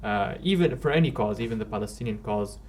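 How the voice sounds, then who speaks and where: A man hosting a podcast, asking questions in a measured, hesitant way with many "uh"s. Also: on the low side, expressive, darkish tone, a little hiss or hum. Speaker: podcast host. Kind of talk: casual interview.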